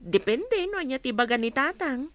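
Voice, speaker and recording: A woman's voice: high voice, sing-song, normal speed, fairly bright tone, no room sound. A woman acting a blunt, gossipy storekeeper in an audio drama, with a direct manner and a Filipino Ilocano accent. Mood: businesslike.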